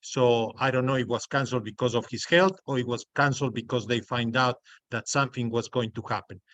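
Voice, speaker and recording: A man from Ecuador, answering as a candidate on a video call, halting and repeating his words. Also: mid-range voice, fairly bright tone, dry recording. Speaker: political candidate.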